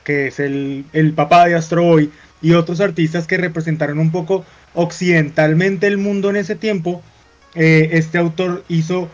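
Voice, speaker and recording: A man from Colombia, co-hosting a talk show, explaining at length with drawn-out hesitations. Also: fairly high pitch, fairly even intonation, soft background music. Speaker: co-host. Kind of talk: casual panel chat. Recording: dull lo-fi sound.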